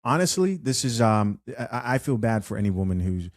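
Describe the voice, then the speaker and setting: A man hosting an unscripted commentary show, chatty and animated as he reads viewer comments aloud. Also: fairly low pitch, moderate pace, dry recording, clean studio sound. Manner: chatty, animated, with frequent fillers and restarts. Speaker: host.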